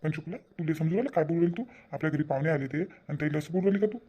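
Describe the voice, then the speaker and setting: A man's voice: on the high side, rapid-fire, slightly rough, muffled recording. A man voicing a sensible, hospitable cartoon grandfather with a chiding, reasoning way of talking and a Vidarbha Marathi accent. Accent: Vidarbha Marathi (Varhadi). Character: sensible, hospitable grandfather scolding his tactless wife. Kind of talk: cartoon family drama dialogue.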